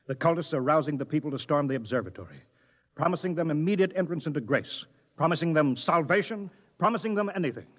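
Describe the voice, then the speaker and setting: An actor playing an urgent, alarmed scientist in a 1950s radio drama. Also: male voice, a little high, animated, fast. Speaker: astronomer. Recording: muffled old radio broadcast with reverb.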